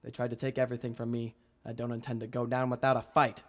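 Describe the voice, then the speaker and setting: A man's voice: mid-range voice, monotone, quick pace, lightly acted. A man playing a brave, cocky police inspector in an old-time radio drama, theatrical and wry. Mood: urgent, determined. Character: dapper, cocky male police inspector hero, hard-drinking and brave. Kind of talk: 1940s radio acting.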